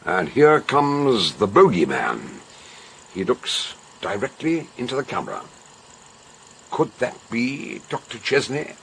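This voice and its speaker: An actor playing a blunt, eccentric detective doctor in a radio drama, emphatic and theatrical. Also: male voice, mid-range voice, sing-song, takes it slowly, creaky, fairly bright tone, slight background noise, heavily acted. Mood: insistent. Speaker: detective and narrator. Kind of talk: radio drama acting and narration.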